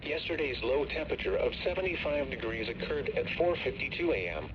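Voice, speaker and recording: A man's voice: mid-range voice, lively intonation, a little fast, a crowd in the background. A man announcing a scripted weather bulletin in a formal, impersonal, stilted synthetic voice, phrase by short phrase. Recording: muffled, clearly noisy weather radio broadcast.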